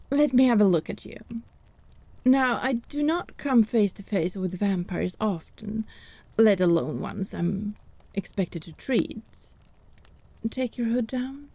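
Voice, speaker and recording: A woman's voice: mid-range voice, animated, slow, gravelly, long pauses, mellow, no echo, full character voice. A woman playing a haughty, teasing country healer in a fantasy audio drama, arch and inquisitive. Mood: wry, amused.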